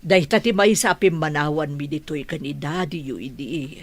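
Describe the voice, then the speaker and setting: A woman's voice: low-pitched, normal speed, no echo. An actress playing an older village mother and grandmother in a radio drama. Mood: calm, explaining.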